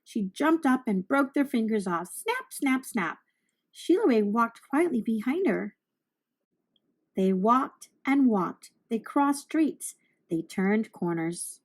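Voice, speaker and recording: A woman reading a children's storybook aloud, narrating with deliberate, expressive phrasing for young listeners. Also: on the high side.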